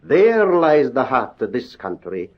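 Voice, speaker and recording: Old radio drama: kindly Scottish doctor, earnest, animated delivery, muffled vintage recording. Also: male voice, medium pitch, measured pace. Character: kindly, idealistic Scottish country doctor, gentle brother.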